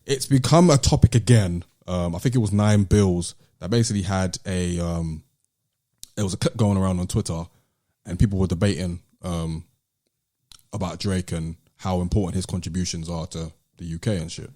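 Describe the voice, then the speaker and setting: Low, mellow British man in casual debate. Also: steady tone, moderate pace. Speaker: podcast host. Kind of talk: casual debate about music.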